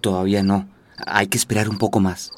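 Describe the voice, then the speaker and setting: A man's voice: on the low side, little pitch movement, fairly quick, slight background noise, natural voice. An actor in a radio drama playing a caring, level-headed but fretful man who reasons things through. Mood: unsure. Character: level-headed, caring man José, advising his friend.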